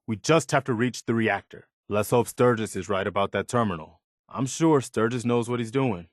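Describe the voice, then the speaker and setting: An actor playing an earnest, loyal militia lieutenant in a video game, sincere, respectful and soldierly. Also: male voice, mid-range voice, moderate pace, dry recording, clean studio sound, lightly acted. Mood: urgent, focused. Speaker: companion character in a video game.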